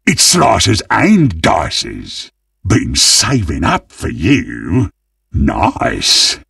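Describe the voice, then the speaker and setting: A man voicing a greedy, gleeful, monstrous undead butcher in a video game, with a gravelly, husky British-accented voice and a menacing, theatrical manner. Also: low-pitched, wildly swooping pitch, extremely slow, a bit bright, no room sound, clean studio sound, recorded from a distance, exaggerated voice. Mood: gloating relish. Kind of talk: video game character voice lines.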